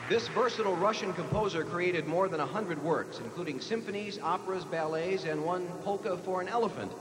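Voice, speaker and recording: An American man reads questions as the host of a live televised quiz. His voice sits a little high. It rings around an echoey hall on a noisy old broadcast. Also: brisk. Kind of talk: live quiz show hosting, reading questions.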